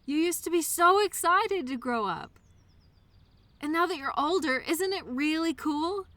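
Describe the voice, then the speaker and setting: A woman playing an innocent, childlike imaginary friend in an intimate audio drama, speaking earnestly and eagerly with wistful, hesitant pauses. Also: high voice, lively intonation, sharp tone, no room sound, heavily acted. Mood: gentle, questioning. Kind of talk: intimate scripted audio roleplay monologue addressed to listener.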